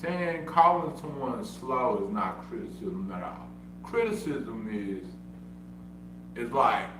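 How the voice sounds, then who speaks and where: Man who stutters, slow deliberate delivery, echoey room, distant mic with a steady hum. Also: medium pitch. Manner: repetitive, insistent, self-defending. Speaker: streamer. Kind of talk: casual livestream monologue. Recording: echoey room, far from mic, humming noise.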